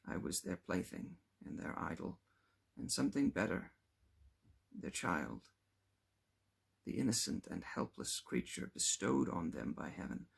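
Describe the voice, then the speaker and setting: A man narrates a classic novel in a deep voice full of gravelly fry. His tone is husky and rough around the edges. He reads in a measured literary manner, with lively rises and falls in pitch. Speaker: audiobook reader.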